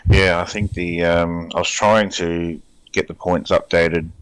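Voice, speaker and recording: An Australian race director in an online interview, explaining rulings in a measured way with frequent ums. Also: male voice, low-pitched, flat delivery, fairly bright tone, slight background noise, poor audio.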